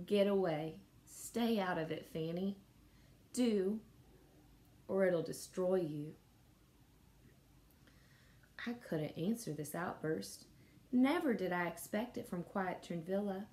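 A woman's voice: mid-range voice, unhurried, a little mellow. A woman in her thirties reading a novel aloud expressively, like a teacher telling a story. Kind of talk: expressive audiobook-style read-aloud of a novel. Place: small indoor room at home. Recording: noticeable room noise.

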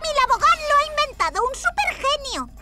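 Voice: extremely high pitch, sing-song, brisk. An actor voicing a boastful, scornful boy mad-scientist villain in a children's cartoon, gloating theatrically with a Castilian Spanish accent. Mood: indignant. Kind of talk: animated children's cartoon dubbing. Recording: music behind the voice.

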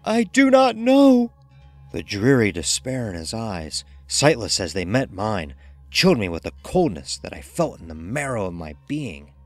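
A man's voice: deep voice, big pitch swings, normal speed, no echo, soft background music. A man narrating a first-person horror story in a grave, intense, theatrical manner.

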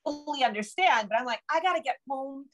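A woman's voice: high voice, animated, fast talker, a bit bright. A middle-aged woman with an American accent chatting casually as an interview guest on a video call, rambling and self-deprecating. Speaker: interview guest, novelist and screenwriter. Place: home room with bookshelves.

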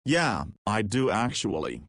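Visual novel lead, male, nineteen: cheeky, easygoing and slangy, lowish voice, dry recording, acted by a man. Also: sing-song, measured pace, fairly bright tone, dry recording, exaggerated voice. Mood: fond. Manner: casual, slangy. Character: cheeky, easygoing nineteen-year-old male ex-juvenile detainee. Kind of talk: visual novel character dialogue.

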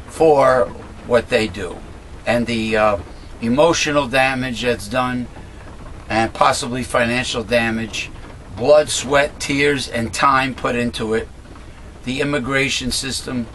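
A middle-aged man with a raspy voice, talking casually to a webcam from a small cluttered room at home, rambling with many fillers and restarts as he airs blunt opinions. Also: mid-range voice, a bit bright, a little hiss or hum, rough recording. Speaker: host of a political commentary channel. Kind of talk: casual monologue, talking to camera.

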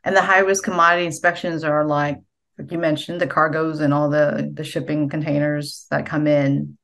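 A woman hosting a podcast interview over a video call, asking gentle questions and giving soft encouraging backchannels. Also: sits low, level tone, moderate pace, gravelly. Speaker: podcast host. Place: home room with bookshelf.

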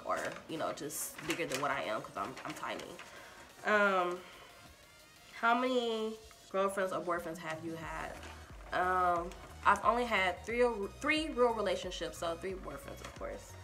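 Young female vlogger, Liberian accent, crisp bright tone, chatty and giggly, faint music underneath. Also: lowish voice. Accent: Liberian English. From Texas, USA. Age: young adult. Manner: chatty, many ums and likes, giggly. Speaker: YouTuber. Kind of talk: casual get-to-know-me Q&A. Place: small indoor room, purple backdrop.

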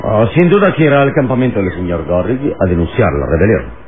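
An actor playing a calm, authoritative heroic leader in an old radio drama, speaking firmly and deliberately. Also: male voice, lowish voice, loud music behind the voice. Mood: calm, resolute. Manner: firm, commanding, deliberate. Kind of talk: vintage radio drama acting. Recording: tinny, noisy old radio recording.

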